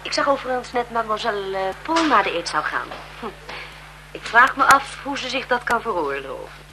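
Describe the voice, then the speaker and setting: A woman's voice: on the high side, very animated, fairly quick. An actress playing a gossipy, chatty female servant to a marquise in a radio drama. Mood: brisk, curious. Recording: 1970s radio, crowd noise behind.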